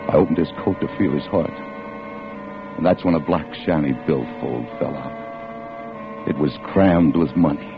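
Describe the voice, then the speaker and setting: A man's voice: extremely low pitch, measured pace, gravelly, pauses often, noisy background, heavily acted. An actor playing a desperate, hot-tempered working-class man with slangy tough talk in a vintage radio thriller. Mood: haunted, confessional. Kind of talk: 1940s radio thriller acting. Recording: muffled old radio recording, dramatic music.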